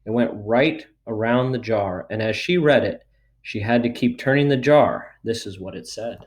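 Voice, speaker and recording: Guy reading a kids book out loud, medium pitch, narrator bits. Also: moderate pace. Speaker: storybook reader. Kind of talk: scripted narration, children's book read aloud.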